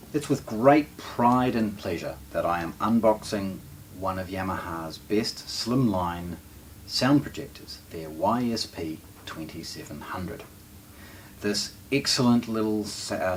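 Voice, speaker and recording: Low-voiced man presenting, noisy distant mic. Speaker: presenter of a shop's unboxing channel. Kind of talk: unscripted product unboxing presentation.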